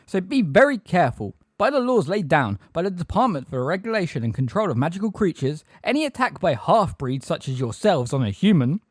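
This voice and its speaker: Shrill, haughty female bureaucrat played by a male reader for a storybook; high, officious, indignant, swooping widely. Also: very animated, brisk, few pauses, no room sound, clean studio sound. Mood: frightened, officious. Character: pompous, terrified older female government official, shrill and indignant. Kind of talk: audiobook character acting.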